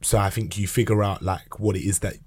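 A man's voice: fairly low pitch, steady tone, fairly quick, darkish tone, breathy edge. A man with a London accent co-hosting a casual podcast, bantering in heavy youth slang. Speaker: podcast co-host.

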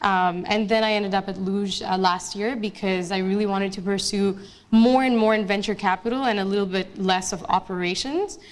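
A young woman from Bangladesh answers questions in front of an audience. Her talk is casual and chatty, full of fillers and restarts. Her voice sits at a middle pitch. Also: fairly even intonation, normal speed. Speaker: guest speaker, investment analyst. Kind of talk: casual onstage interview.

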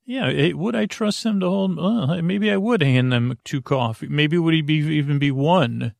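Male sleep-podcast host, gravelly, meandering and halting, dry close sound. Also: no room sound. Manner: meandering, halting, lots of fillers and restarts; low-key, drowsy delivery. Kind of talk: rambling sleep podcast intro.

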